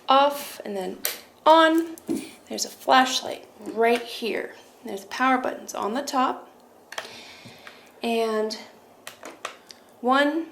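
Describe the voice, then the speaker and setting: Woman, somewhat high pitch, casual product demo, step-by-step explanations, audible background noise. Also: fairly bright tone. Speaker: product review presenter.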